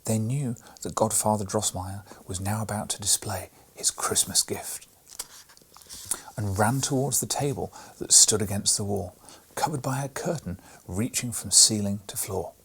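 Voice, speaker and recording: A man reading a classic children's story aloud as a bedtime audiobook, narrating softly and often in a breathy, whispered way. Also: fairly low pitch, normal speed, a little hiss or hum.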